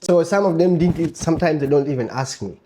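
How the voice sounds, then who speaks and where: A man's voice: a little high, animated, fast, crowd noise. A man from Uganda with a Ugandan accent, telling his own story conversationally as an interview guest and repeating phrases for emphasis. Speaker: interview guest, table tennis player and coach.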